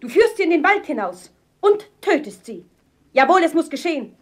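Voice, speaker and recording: A man voicing a vain, proud queen in a high falsetto, speaking in rhymes for a fairy-tale audio play. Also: sing-song, moderate pace. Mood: commanding. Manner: high falsetto, imperious rhyming speech.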